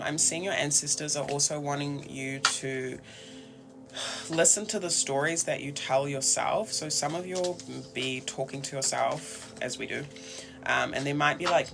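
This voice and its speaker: A man with a New Zealand accent giving a casual, conversational tarot reading in a reflective, halting way. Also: mid-range voice, vocal fry. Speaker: tarot reader and host.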